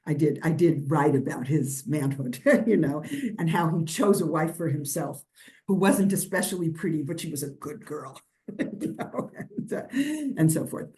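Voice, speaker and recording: Older female historian, New York-raised, low mellow voice, rambling Q&A answers. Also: normal speed. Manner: rambling, many restarts and fillers, laughs lightly. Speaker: guest lecturer, historian. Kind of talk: conversational lecture Q&A.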